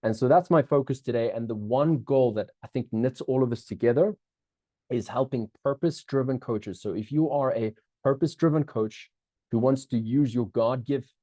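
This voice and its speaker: A man's voice: mid-range voice, normal speed, warm tone, dry recording. A middle-aged man with a South African accent, hosting a live online coaching masterclass in an upbeat, chatty way, often restarting phrases and checking in with his audience.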